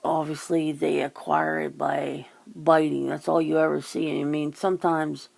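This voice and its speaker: Slow, very gravelly woman musing casually. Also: low voice, level tone, darkish tone. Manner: musing, rambling, swears casually. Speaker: ASMR host. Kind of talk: casual chat, ASMR.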